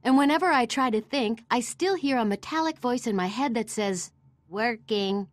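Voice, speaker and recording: A woman's voice: on the high side, animated, normal speed, dry recording, close to an everyday voice. A woman playing a weary, grateful young gnome-like survivor in a video game. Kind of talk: video game cutscene acting.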